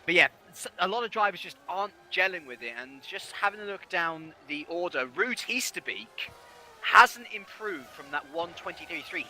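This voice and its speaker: A man's voice: sits high, sharp tone, poor audio. A man commentating live on a sim racing qualifying session, fluent and analytical as he runs down the standings.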